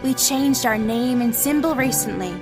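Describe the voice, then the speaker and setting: A woman's voice: a little high, moderate pace, over music, natural voice. A woman acting in an audio drama as a businesslike official of a secret organisation.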